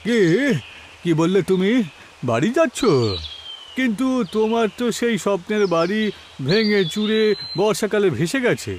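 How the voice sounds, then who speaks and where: A man's voice: high voice, normal speed, long pauses, a little hiss or hum, natural voice. A man voicing a mocking, scolding village neighbour in a cartoon, taunting and scornful.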